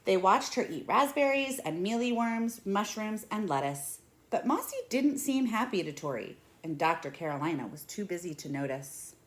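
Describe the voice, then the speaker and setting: A woman in her thirties reading a picture book aloud in an expressive, deliberate way. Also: mid-range voice. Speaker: read-aloud storyteller. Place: small indoor room at home.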